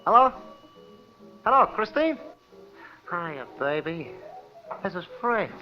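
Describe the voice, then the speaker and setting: Casting: slick, eager small-time crook, high-pitched and wheedling, old radio noir, lo-fi. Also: male voice, big pitch swings, slow, exaggerated voice. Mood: eager, secretive. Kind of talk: old-time radio crime drama acting. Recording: muffled old radio recording, faint music.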